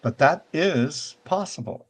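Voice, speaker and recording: A man's voice: a little high, animated, slow pace, breathy edge. A retired older man hosting a casual show, touring a virtual world and chatting in a rambling, conversational way, often checking in with the listener. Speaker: show host.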